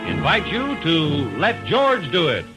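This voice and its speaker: A man's voice: sits high, wildly swooping pitch, a little slow, full character voice, poor audio. A man playing a grand announcer reading a 1940s radio sponsor introduction. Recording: old radio broadcast over loud music.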